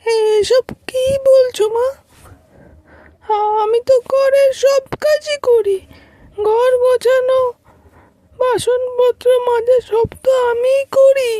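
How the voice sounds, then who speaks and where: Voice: very high voice, fairly even intonation, warm tone, no room sound. A performer voicing a sad, mistreated young boy in a cartoon, thin and plaintive, pleading obediently. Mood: frightened pleading. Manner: plaintive, slow, tearful pleading. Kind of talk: cartoon voice acting.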